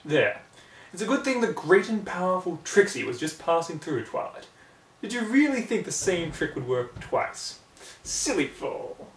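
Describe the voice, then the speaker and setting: A man puts on a boastful unicorn magician mare from a storybook. She is pompous and declamatory, pitched up and ringing out in an echoey room. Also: very animated, a little slow, exaggerated voice. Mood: smug, scornful. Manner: pompous, declamatory, speaks of herself in third person. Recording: roomy, distant mic.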